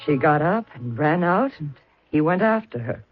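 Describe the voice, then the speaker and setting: An actress playing a proud widow who stammers defensively under questioning, in a 1970s radio drama. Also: female voice, low-pitched, animated, moderate pace, soft background music. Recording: muffled dry old radio broadcast.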